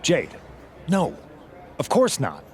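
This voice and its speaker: A man playing a scheming, flattering wrestling boss with theatrical sales patter in a video game cutscene. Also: fairly high pitch, takes it slowly, heavily acted. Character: smooth-talking, scheming male wrestling faction boss.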